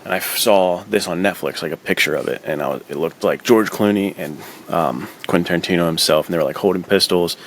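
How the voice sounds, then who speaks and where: A man's voice: low voice, fast talker, hardly any pauses, slight background noise. A man co-hosting a podcast, chatting casually about films in slangy, buddy-like talk full of "dude" and "like". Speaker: podcast co-host. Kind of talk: casual film chat.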